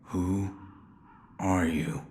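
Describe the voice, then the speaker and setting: Slow, low, terse shinobi. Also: male voice, fairly even intonation, a little mellow, a little hiss or hum. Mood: guarded. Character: stoic, terse male shinobi warrior. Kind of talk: video game cutscene acting.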